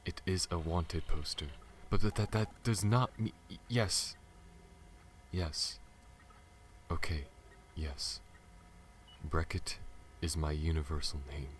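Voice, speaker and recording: A man playing a guarded, protective alien smuggler pilot in an ASMR audio roleplay, earnest and halting, speaking to the listener. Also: low voice, fairly even intonation. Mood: flustered, defensive. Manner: halting, stammers when flustered, earnest. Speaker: voice actor. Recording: audible background noise.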